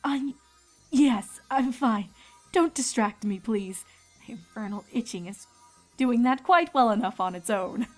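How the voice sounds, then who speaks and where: This is a woman acting a regal elder pony princess in a storybook reading. She is measured, formal and tactful, a mentor with a fairly high voice. Soft music plays behind her. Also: lively intonation. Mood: strained.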